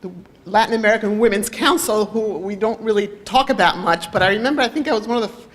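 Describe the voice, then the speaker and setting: A woman's voice: mid-range voice, fast talker. A Dominican-born woman in her fifties giving an off-the-cuff, rambling ceremony speech, slipping in Spanish now and then. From Dominican Republic. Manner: warm, rambling, mixes in Spanish. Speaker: judge and honoree speaking at a ceremony.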